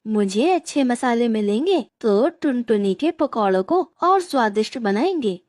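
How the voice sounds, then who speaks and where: A woman as a highish, earnest male crow. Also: expressive, a little slow, a little mellow, no room sound. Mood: eager. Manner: earnest, helpful. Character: caring, helpful male crow friend. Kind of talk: cartoon moral story dialogue.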